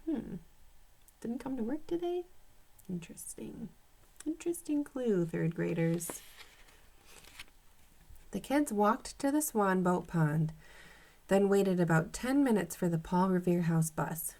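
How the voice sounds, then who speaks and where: Female storyteller, primary teacher type, expressive read-aloud for young children. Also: medium pitch. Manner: expressive, acts out dialogue, pauses to comment to pupils. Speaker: teacher reading aloud to third graders.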